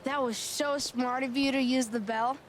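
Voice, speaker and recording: A woman's voice: high-pitched, steady tone, moderate pace, faint music behind, lightly acted. A woman voicing an eager, stubborn young child in a fantasy quest in a video game. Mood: excited.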